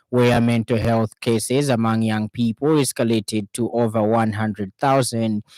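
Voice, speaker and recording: A man with a Zambian accent reading a scripted radio news bulletin in a formal, measured way, phrase by phrase. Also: medium pitch, a little mellow, no room sound, low-quality recording.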